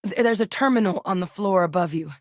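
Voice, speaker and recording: An actress playing a composed, capable female scientist in a game, speaking in a measured, matter-of-fact, dry way. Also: medium pitch, no echo, close to an everyday voice. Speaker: scientist working alongside the captain. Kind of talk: game character voice lines.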